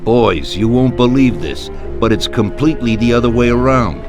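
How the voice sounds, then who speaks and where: An actor playing a commanding, deliberate mob boss in a video game drama. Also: male voice, mid-range voice, over music, close to an everyday voice. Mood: dryly amused. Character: commanding male mob boss addressing his boys. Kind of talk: video game cutscene acting.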